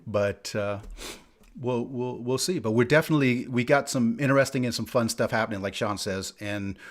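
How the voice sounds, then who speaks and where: A man co-hosting a podcast in casual, rambling banter, often repeating himself as he goes. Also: mid-range voice. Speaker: podcast co-host.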